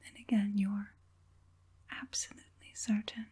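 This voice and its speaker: A woman voicing an evil witch puppet master in an ASMR roleplay, mostly whispering in a breathy, deliberate, murmuring way. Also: mid-range voice, level tone, warm tone. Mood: soothing, sinister. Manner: slow, deliberate, murmuring assent between pauses.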